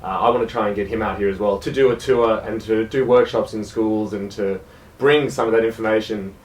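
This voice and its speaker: A man's voice: fairly low pitch, steady tone, brisk, darkish tone, echoey room, far from the mic, rough recording. A young Australian man, a jazz musician, talking casually and self-deprecatingly in a radio interview, with many hesitations. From South Gippsland, Australia.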